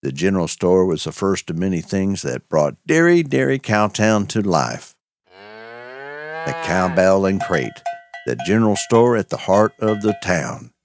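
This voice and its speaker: A man's voice: low voice, animated, unhurried. A man narrating a cozy audio story as host, with a folksy cowboy drawl and country sayings.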